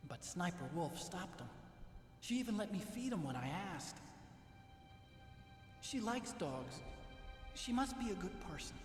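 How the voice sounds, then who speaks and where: A man's voice: high-pitched, very animated, unhurried, pauses often. A man playing a timid, whiny young scientist in a video game, kind, naive and nervous. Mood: earnest, gentle. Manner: nervous, complaining, exclaiming. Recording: echoey stone-room reverb under loud music.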